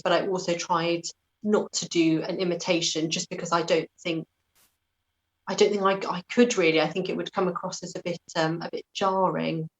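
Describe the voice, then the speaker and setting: British woman with a slightly low voice. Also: rough recording. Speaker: interview guest, actor. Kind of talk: conversational interview.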